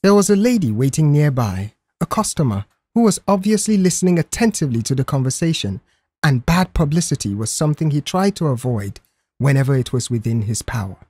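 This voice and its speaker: A male narrator reads scripted fiction. His voice sits a little high and moves with very lively, varied intonation. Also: a little fast, husky, no echo.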